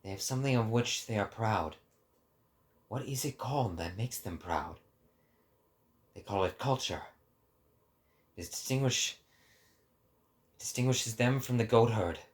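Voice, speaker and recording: A man narrates dramatic prose in a low, hushed register. He takes it very slowly, with measured, solemn phrasing and a subdued delivery. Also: lively intonation. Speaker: voice actor, narrator.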